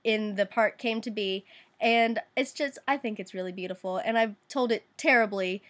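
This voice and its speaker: A woman's voice: medium pitch, normal speed, fairly bright tone. A woman vlogger telling stories in a casual, chatty style, with wry asides and informal slang.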